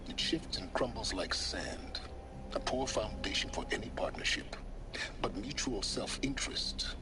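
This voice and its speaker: An actor playing a cunning, calculating male scholar in a video game, speaking in a measured, half-whispered way. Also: on the low side, animated, background noise.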